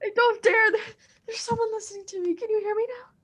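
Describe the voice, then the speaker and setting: A nervous, demanding invalid wife in a 1940s-style radio thriller. Her voice is extremely high. She sounds imperious and fretful, always on the edge of breathless panic. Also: steady tone, fast, fairly bright tone. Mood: terrified. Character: anxious, demanding invalid wife terrified alone at home.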